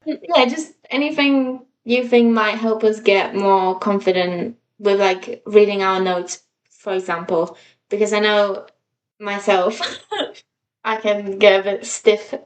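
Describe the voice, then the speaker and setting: A woman's mid-pitched voice, recorded a little away from the microphone. She co-hosts a podcast in an informal, rambling, self-deprecating way, chatting casually. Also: fairly even intonation, takes it slowly, distant mic, highs cut off. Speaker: podcast co-host.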